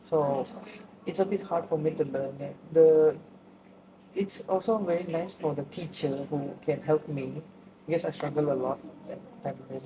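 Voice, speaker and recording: A man's voice: fairly high pitch, steady tone, moderate pace, background noise. Hesitant young Malaysian man student. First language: Tamil. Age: young adult. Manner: hesitant, many fillers and restarts. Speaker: interviewee, student. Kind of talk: casual interview answers.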